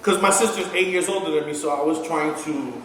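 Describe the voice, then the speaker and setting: A man's voice: on the high side, fairly quick, fairly bright tone. A man, a podcast guest, telling his life story in a loose, laid-back way, laughing and swearing often. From born in Texas, raised in Colombia and New York. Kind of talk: casual chat. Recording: echoey room from far off, faint noise.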